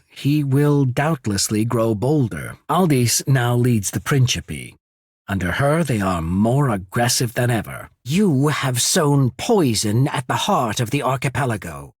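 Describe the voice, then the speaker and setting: Imperial envoy, video game dialogue, persuasive, measured wording, highly varied intonation, dry close studio sound. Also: male voice, breathy edge, dry recording. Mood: reproachful, intense. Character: smooth, calculating male diplomat of an imperial trading power.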